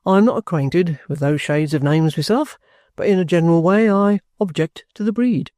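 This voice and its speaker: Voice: medium pitch, normal speed, warm tone, no echo, studio quality, close to an everyday voice. A reader voicing a bluff, stubborn ex-soldier in an audiobook, courteous, plain-spoken and offhand. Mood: polite, firm. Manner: respectful, offhand, self-possessed.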